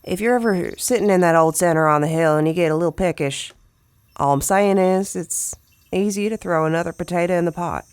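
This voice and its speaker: An actress playing a gruff, kind-hearted, plain-spoken woman in an audio drama, brusque but affectionate. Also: on the low side, fairly quick, no room sound, lightly acted. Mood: gruff, kindly. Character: gruff, folksy, kind-hearted tough woman, freshly injured.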